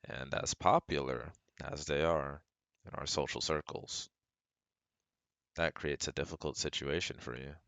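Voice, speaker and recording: Very deep, gravelly young man, acting as caring friend. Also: fairly even intonation, unhurried, a bit bright, dry recording, full character voice. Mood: frank, supportive. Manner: reassuring, earnest, talks directly to a close friend. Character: caring, protective male best friend, young pre-med psychology student. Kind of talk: scripted ASMR roleplay, speaking to the listener.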